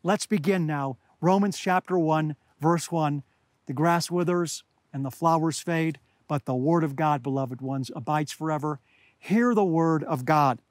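An older man preaching an expository sermon in an earnest teaching manner, his voice slightly hoarse, recorded outdoors at high altitude. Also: unhurried, no echo. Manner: earnest, teaching, addresses listeners as beloved. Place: outdoors at high altitude among pine trees.

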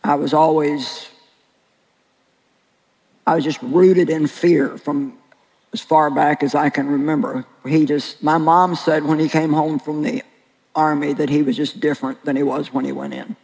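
Older woman preacher, very deep voice, earnest and plain-spoken, slow deliberate pauses, echoing large hall. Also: fairly even intonation, vocal fry, darkish tone, breathy edge. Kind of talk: sermon with personal testimony.

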